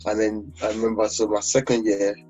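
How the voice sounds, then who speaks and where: A Nigerian man, a gospel singer, talking in a relaxed interview, rambling with many fillers and restarts. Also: lowish voice, brisk. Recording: dull lo-fi audio with faint music.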